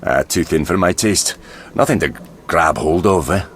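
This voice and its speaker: A man's voice: sits low, moderate pace, a little hiss or hum. A man playing a crude, cynical dwarf mercenary in a video game, talking in a blunt, sardonic, earthy way. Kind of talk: video game dialogue acting.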